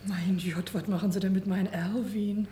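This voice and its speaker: An actress playing a worried, sceptical patient's wife in a radio play, speaking colloquial German. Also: female voice, medium pitch, fairly even intonation, moderate pace, mellow, natural voice. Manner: colloquial, fussing, distrustful. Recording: roomy sound, faint background noise.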